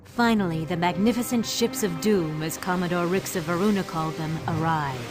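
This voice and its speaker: A woman giving measured, solemn scripted narration for a fantasy game's story scenes. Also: fairly low pitch, over music.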